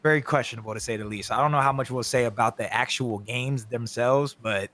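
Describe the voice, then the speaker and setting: A man with a slightly hoarse, raspy voice co-hosts a casual esports podcast. He talks in a laid-back, slangy way with plenty of energy. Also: medium pitch, a little fast, a bit bright. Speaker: co-host.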